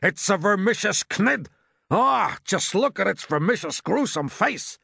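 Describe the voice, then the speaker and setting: A man voicing a bossy, alarmed chief of police in a storybook, with loud, drawn-out exclamations. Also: fairly high pitch, big pitch swings, creaky, husky, no room sound, studio quality, pitched above the performer's own voice, full character voice.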